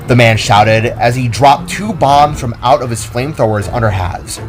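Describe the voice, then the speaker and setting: A man narrating an action story as a dramatic reading, with expressive delivery. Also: medium pitch, moderate pace. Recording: music under the reading.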